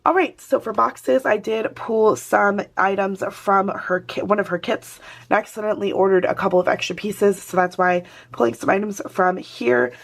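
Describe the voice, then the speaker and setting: Woman, hoarse voice, casual crafting vlog chat, mid pitch. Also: moderate pace, hardly any pauses. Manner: chatty, talks to viewers while working. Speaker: planner vlogger.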